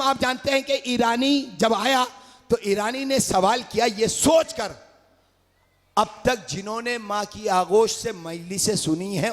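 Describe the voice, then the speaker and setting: A man with an Urdu accent delivering a majlis sermon, rising to shouts and sung phrases as he calls out to the gathering. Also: high-pitched. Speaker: majlis orator. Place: large religious gathering hall. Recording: long hall reverb.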